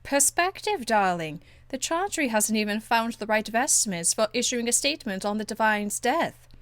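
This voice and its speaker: A woman's voice: a little high, expressive, normal speed, a bit bright, no echo. A woman voicing a proud, elegant, condescending court enchantress in a video game, with polished, haughty phrasing. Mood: poised condescension. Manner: haughty, polished, drawling endearments. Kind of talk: video game dialogue acting.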